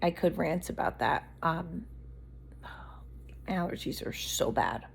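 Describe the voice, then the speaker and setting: Woman vlogger, candid and rambling, slightly lower voice, a bit hoarse from allergies. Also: expressive, slow pace, a little hiss or hum. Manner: candid, blunt, rambling rant. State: losing her voice from allergies. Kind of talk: unscripted rambling monologue.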